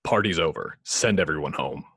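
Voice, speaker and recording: A man's voice: rumbling low, animated, moderate pace, sharp tone, husky, heavily acted. A man playing a brooding, curt, conflicted vigilante billionaire, terse and restrained, in a screenplay table read.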